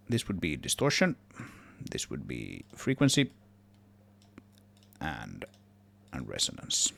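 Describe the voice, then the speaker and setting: Deep, creaky-voiced man thinking aloud. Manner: hesitant, self-correcting, trailing off as he works. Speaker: tutorial presenter, game audio developer. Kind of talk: casual screen-recorded software tutorial, thinking aloud.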